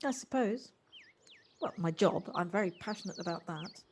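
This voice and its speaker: A woman's voice: fairly low pitch, expressive, normal speed, slight background noise. A woman in an amateur audio drama playing an exuberant, affectionate lady, eager and effusive, often repeating her words. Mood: polite, earnest. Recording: home recording on a personal device.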